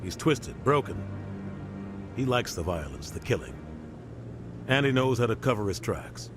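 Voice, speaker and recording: A man playing a seasoned, world-weary military insider in a video game, speaking in a measured, matter-of-fact, authoritative way. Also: medium pitch, big pitch swings, over music. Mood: grim, bitter. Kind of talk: video game dialogue, explanatory.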